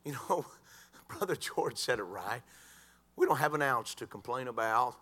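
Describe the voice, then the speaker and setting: A man preaching a sermon in a church, swinging from quiet talk to shouted crescendos. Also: mid-range voice, expressive, measured pace, a little hiss or hum.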